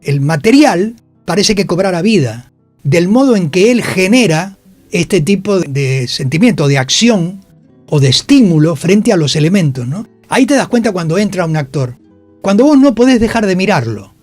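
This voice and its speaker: A man's voice: sits high, big pitch swings, normal speed, a little mellow, no room sound, soft background music. An older man with a Rioplatense Spanish accent, telling anecdotes in a radio interview and acting out the quotes as he goes. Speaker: interviewed actor. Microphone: radio studio microphone.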